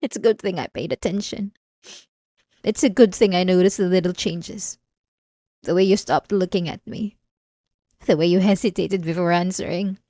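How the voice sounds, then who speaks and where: A woman's voice: fairly low pitch, mellow, dry recording, natural voice. A woman playing an unhinged, possessive and obsessive wife in a dramatic ASMR roleplay, intense and swinging between pleading and menace. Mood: cold, menacing. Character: unhinged possessive, obsessive young wife confronting her husband.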